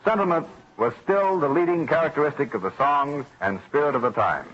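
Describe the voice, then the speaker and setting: A man's voice: on the high side, fairly quick, slight background noise. An American man giving scripted old-time radio narration in a dramatic, declamatory delivery. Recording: dull vintage radio recording with music behind. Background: music.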